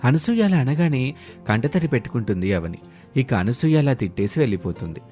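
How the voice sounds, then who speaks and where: A man's voice: medium pitch, sing-song, brisk, warm tone. A man narrating a scripted family moral story as a storyteller. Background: background music.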